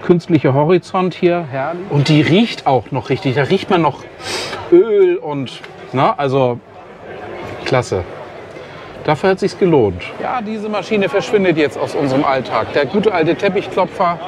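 A fairly high-voiced German man talks easily to his camera while he strolls through a crowded racetrack event, and he keeps tagging his remarks with "ne?". Loud outdoor noise and a bustling crowd sit behind his voice. It is picked up on a handheld camera mic. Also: lively intonation, a little slow. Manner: chatty, tags sentences with "ne?". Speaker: vlogger. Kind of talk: casual walk-and-talk vlog.

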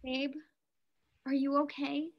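A woman's voice: high-pitched, flat delivery, slow, lo-fi sound. A young woman reading the part of a plucky, sardonic daughter in a play over a video call. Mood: shaken. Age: young adult. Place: room at home. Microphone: computer mic on video call.

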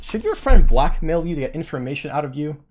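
A man's voice: fairly high pitch, fairly quick, a little hiss or hum. A man streamer ranting to his chat in casual talk, swearing often and acting out what others said to him. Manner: heated, petty, swearing, quotes others in raised voice; says he has a monotone voice.

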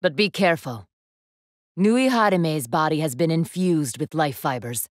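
A woman's voice: low voice, lively intonation, measured pace, no room sound, studio quality. A woman playing an imperious young student council leader in an anime fighting game, commanding, haughty and formal with steely resolve. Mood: grave, calculating.

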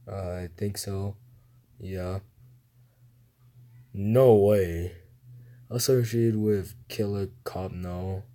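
A young man reading guessing-game questions aloud and answering them in a low-key, mumbled way, recorded on a phone. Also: warm tone. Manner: mumbled, low-key answers, livelier when starting a new round. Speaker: gamer playing a guessing game. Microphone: phone screen recorder.

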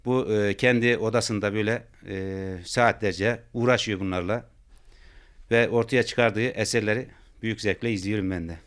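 A man's voice: fairly low pitch, steady tone, normal speed, a bit bright, clean tone. A middle-aged man speaking as a parent in an informal interview, giving plain answers.

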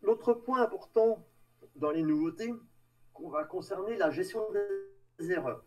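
A man giving a live technical presentation in a halting, hesitant way, trailing off between phrases. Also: high voice, animated, soft background music. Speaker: tech meetup presenter. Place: online video meeting with screen share. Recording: distant mic on online call.

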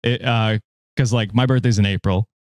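A man's voice: on the low side, steady tone, moderate pace, darkish tone, no echo. A man in his late twenties hosting a podcast, chatting casually and reading online posts aloud. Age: late 20s. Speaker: podcast host reading stories.

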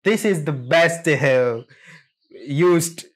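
A man casually reacting to game trailers, speaking in a hesitant, halting way. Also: on the high side. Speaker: reaction video host.

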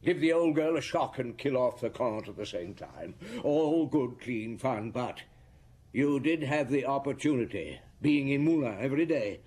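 An actor playing a shrewd, methodical chief inspector in a radio drama, probing and deliberate with a husky voice. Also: male voice, mid-range voice, expressive, darkish tone. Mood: accusing, sardonic. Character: shrewd, methodical male police chief inspector laying out accusations. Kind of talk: BBC radio drama acting.

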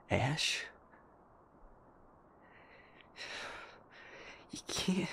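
A man playing a loyal, protective packmate in an emotional audio drama, speaking with halting, insistent earnestness. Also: high-pitched, gravelly, slight background noise, exaggerated voice.

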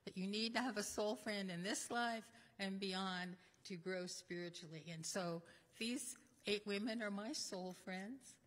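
Older woman minister, earnest introductions, mid-pitch, echoey sanctuary. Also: unhurried, few pauses, a bit bright. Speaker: minister leading the service. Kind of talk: introducing speakers in a service. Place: church sanctuary at a lectern. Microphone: lectern microphone. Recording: echoey church hall.

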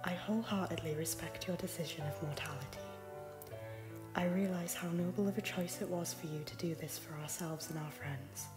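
A woman playing a solemn, wistful noblewoman in an animated drama, speaking in hushed, breathy, formal poetic phrases. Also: on the low side, fairly quick, natural voice. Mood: tender, sorrowful. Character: solemn, wistful noblewoman nursing lost love. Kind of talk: dramatic animated-film voice acting. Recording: music under the voice.

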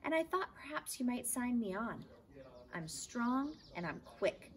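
A woman voicing a small, plucky young male mouse sailor in a children's storybook, crisp and bold. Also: fairly high pitch, very animated, unhurried, voice pushed up. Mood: bold, polite. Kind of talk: children's storybook read-aloud. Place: outdoors in a backyard garden. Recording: outdoor ambient noise.

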